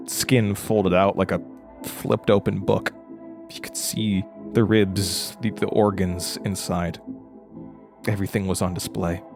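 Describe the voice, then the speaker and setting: An actor playing a haunted, frightened man recounting a horror ordeal in a halting, intense way, as in an audio drama. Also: fairly low pitch, gravelly. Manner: halting, stammering over words, intense. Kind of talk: first-person horror audio drama narration.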